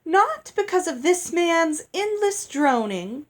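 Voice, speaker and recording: A woman's voice: very high-pitched, big pitch swings, slow, voice pushed up, heavily acted. A woman voicing a gushing, theatrical lady in a storybook, with a grand, declamatory, ringing delivery. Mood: effusive, grand. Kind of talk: audiobook character voice.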